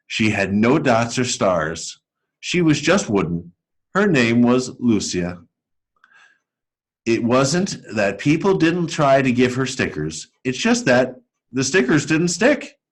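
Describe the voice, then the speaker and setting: A man reading a picture book aloud to schoolchildren as an educator, telling it expressively and deliberately. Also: medium pitch. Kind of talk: storybook read-aloud for children.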